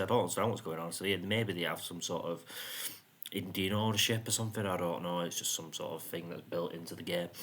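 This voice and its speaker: A man with a self-described monotone voice, casually commenting on his football management game, rambling with plenty of ums. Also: low-pitched. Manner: rambling, frequent ums and "you know what I mean". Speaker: gaming YouTuber.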